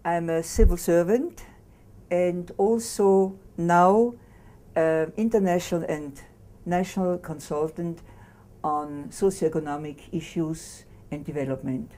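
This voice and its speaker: A woman's voice: on the low side, a little slow, dark tone. A woman from Austria, a civil servant and consultant, introducing herself carefully in an interview. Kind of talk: recorded interview.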